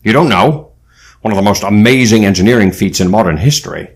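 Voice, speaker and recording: An actor playing a grave, knowledgeable team leader in an audio adventure drama, with a measured, authoritative way of explaining. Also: male voice, on the low side, lightly acted. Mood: explanatory, grave. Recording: faint background noise.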